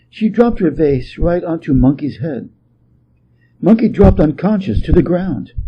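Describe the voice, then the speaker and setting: A man from Hawaii reading a storybook tale as an expressive narrator. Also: on the high side, dull audio, slight background noise. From Hawaii, United States.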